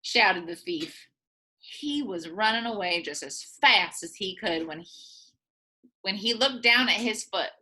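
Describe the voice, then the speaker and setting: A woman reading a children's picture book aloud in a playful, expressive way, as a teacher does for young listeners. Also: medium pitch, measured pace. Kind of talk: animated children's storybook read-aloud.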